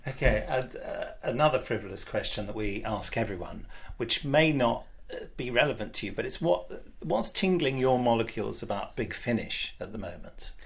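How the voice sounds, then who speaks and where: A man's voice: medium pitch, expressive, normal speed, vocal fry, few pauses. A man hosting an interview, asking questions in a playful, self-deprecating way. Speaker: interviewer, host. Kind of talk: casual interview. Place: recording studio.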